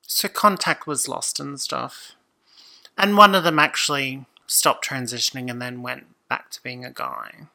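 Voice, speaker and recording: A woman, a podcast guest talking casually and thoughtfully, often pausing and restarting. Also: a bass voice, creaky, sharp tone. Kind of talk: casual conversation.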